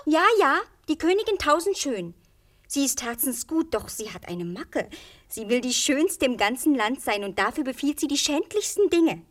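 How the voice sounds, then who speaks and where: Girlish fairy-tale princess, high register, naive and earnest, vain and easily tempted, radio drama. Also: very animated, moderate pace. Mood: wary, frank. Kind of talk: radio play acting.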